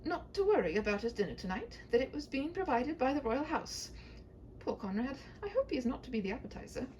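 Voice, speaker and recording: Woman as a storybook princess: young, prim, brave yet scared, highish and expressive. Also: fairly quick, slight background noise, poor audio. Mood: earnest, worried. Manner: prim, earnest, formal.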